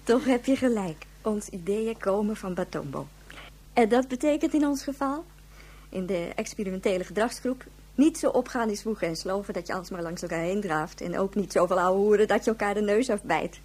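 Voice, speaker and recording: An actress playing a blunt, chatty station leader in a radio drama, breezy and outspoken, with a husky voice. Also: female voice, mid-range voice, expressive, fairly quick, darkish tone, lightly acted. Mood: blunt, explaining.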